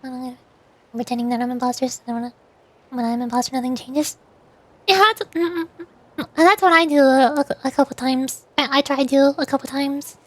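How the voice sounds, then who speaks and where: A woman's voice: high voice, moderate pace. A woman streaming as a VTuber, chatting casually and rambling, often restarting her sentences and laughing at herself. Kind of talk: casual livestream chat.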